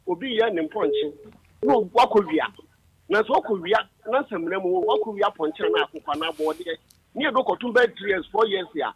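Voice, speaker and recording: A man's voice: high-pitched, breathy edge, no room sound, dull audio. A man with a Ghanaian accent calling in to a radio show with a long, rambling explanation. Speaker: caller. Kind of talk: radio phone-in call.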